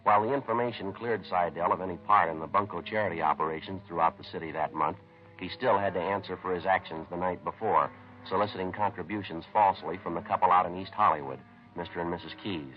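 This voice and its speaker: An actor playing a terse, businesslike police sergeant who narrates a 1950s radio crime drama in a deadpan, matter-of-fact way. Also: male voice, sits low, fast, close to an everyday voice. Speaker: narrator and lead police detective. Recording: dull vintage radio recording with music.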